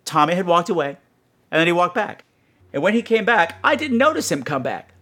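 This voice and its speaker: A man's voice: sits high, big pitch swings, fairly quick, bright, forceful tone, slightly rough. A man hosting a podcast with a campy, theatrical, chatty comic delivery. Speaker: podcast host.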